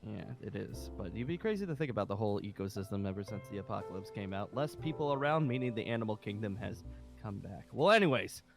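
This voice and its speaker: A man's voice: on the low side, expressive, fast, creaky, music in the background. A man playing an impatient military colonel running a survivor camp, brusque and sarcastic, with stop-start phrasing, in an improvised game roleplay. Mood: firm, impatient.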